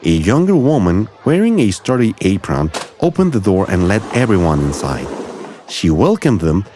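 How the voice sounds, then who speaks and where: Deep-voiced man narrating a children's story. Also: very animated, moderate pace, background noise. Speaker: audiobook narrator.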